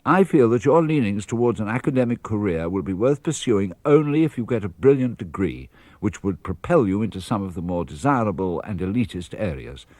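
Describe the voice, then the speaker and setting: Lively, measured male letter reader. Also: on the low side, no room sound. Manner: measured, earnest and fatherly. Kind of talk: reading letters aloud for radio. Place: radio studio.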